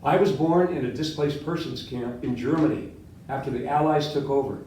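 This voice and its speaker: A man's voice: medium pitch, moderate pace, a little hiss or hum. Older Latvian-born man lecturing in echoey room. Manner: animated, quizzes students, gestures widely. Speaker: history professor. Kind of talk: classroom lecture with questions. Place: seminar room with whiteboard. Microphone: far camera. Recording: echoey classroom from far off.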